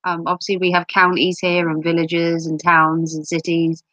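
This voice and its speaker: A woman from the UK with a cold, wheezy and clearing her throat, chatting casually and rambling on a livestream with self-mocking jokes. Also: fairly low pitch, monotone, normal speed, sharp tone, dry recording. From United Kingdom. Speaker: livestream host. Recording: dull lo-fi sound.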